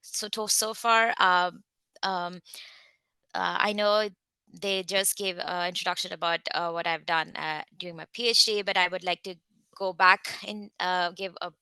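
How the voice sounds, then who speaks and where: Low, crisp-voiced Indian woman presenting. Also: fairly even intonation, unhurried, bright, forceful tone, no room sound. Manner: careful, with frequent hesitant fillers. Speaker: guest speaker, research scientist. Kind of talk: online presentation with slides.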